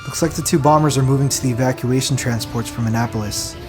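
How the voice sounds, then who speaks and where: A man acts a reluctant human pilot in a space audio drama. His mid-pitched voice is natural and wry, with music clearly playing behind it. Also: quick pace, close to an everyday voice. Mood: focused. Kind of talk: sci-fi audio drama acting.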